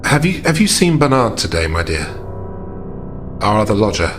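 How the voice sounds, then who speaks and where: Horror tabletop game role: gentleman investigator played by a man, deep, polite, hesitant, protective manner, over background music. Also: very animated. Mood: grave, concerned. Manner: formal, measured, hesitant.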